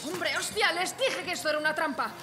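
A woman acting an excitable, fearful merchant sailor who swears and exclaims theatrically in a radio play. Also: very high voice, a little fast. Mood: alarmed, pleading. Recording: long reverb, music behind.

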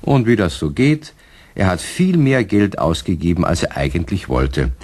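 A man's voice: low voice, big pitch swings, moderate pace, a little mellow. A man narrating a children's radio play as a storyteller, from a script.

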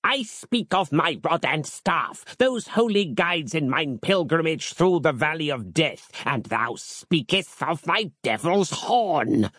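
A man's voice: a little high, lively intonation, slow pace, few pauses, fairly bright tone, slightly rough, no room sound, studio quality, voice pushed up, full character voice. A man voicing a stern, pious seventeenth-century ancestor spirit in a storybook, with an archaic, commanding, sermonizing manner. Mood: scolding.

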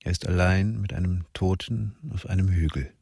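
A man's voice: low voice, steady tone, normal speed, creaky, a little mellow, slightly rough, no room sound, studio quality. A man narrating a radio drama in short, sparse, sombre sentences. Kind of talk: scripted present-tense narration.

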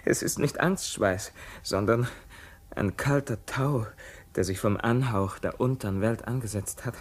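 A man's voice: on the low side, a little slow, slightly rough. An actor playing a proud, defiant, haunted king in a theatrical radio play, declaiming commands with sudden outbursts. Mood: grim musing.

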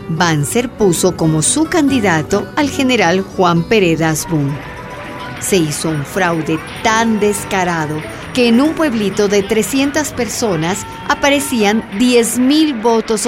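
A woman's voice: medium pitch, animated, unhurried. A woman giving scripted narration for a radio drama. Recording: clear background music.

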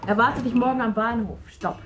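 A woman's voice: fairly high pitch, steady tone, moderate pace, close to an everyday voice. An actress in a German radio drama playing a proud, headstrong woman of the theatre, clipped and theatrical. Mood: imperious. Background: music.

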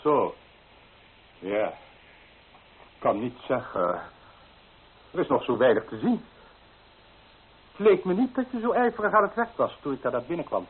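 An actor playing an elderly, hesitant priest who repeats himself in a flustered way in an old radio drama. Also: male voice, medium pitch, big pitch swings, measured pace, pauses often. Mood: hesitant, doubtful. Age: older. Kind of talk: old radio play acting. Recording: tinny old radio recording, hissy.

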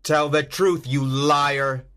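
A man reading a storybook aloud, voicing a bold, righteous masked hero in slow, simple lines. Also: on the high side, fairly even intonation, a bit bright, pitched above the performer's own voice. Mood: stern. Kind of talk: graded reader audiobook.

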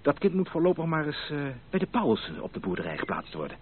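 An actor playing an ardent, determined gentleman suitor, emphatic and romantic, in a Dutch radio play. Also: a little high, wildly swooping pitch, fast. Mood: resolute, brisk. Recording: narrow, noisy old radio play recording.

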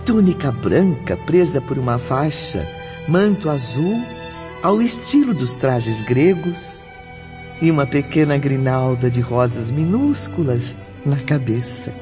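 A man's voice: mid-range voice, animated, takes it slowly. A man narrating a radio drama in a solemn, literary style. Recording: dull sound, music behind.

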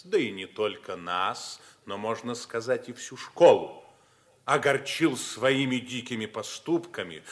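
Actor as pompous, cold school official. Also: male voice, medium pitch, fairly bright tone, natural voice. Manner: pompous, measured oratory. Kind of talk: mid-century Soviet stage acting for radio.

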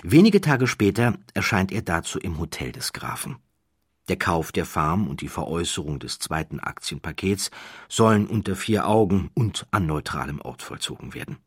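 A male audiobook narrator with a low, husky voice tells a scripted story. His phrasing is deliberate and emphatic, with widely varied intonation, in a dry studio. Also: very animated, no room sound.

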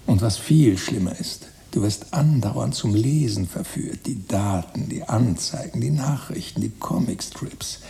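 An actor playing a wistful, romantic writer-narrator in a literary radio drama, musing deliberately in short phrases. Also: male voice, on the low side, expressive, gravelly, dark tone. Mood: brooding. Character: wistful romantic male narrator-writer recalling his emigration voyage. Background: music.

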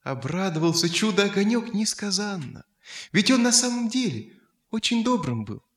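A man narrating children's fairy tales as a storyteller, in an expressive scripted style. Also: high voice, moderate pace, reverberant.

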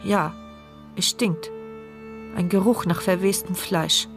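A woman's voice: fairly low pitch, steady tone, takes it slowly. Brief: trapped, frightened woman, fragmented inner monologue, radio thriller, music underneath. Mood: dread, horror. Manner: tense, fragmented first-person present-tense narration. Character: wheelchair-bound woman narrator, trapped, terrified, silent inner voice. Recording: music bed behind voice.

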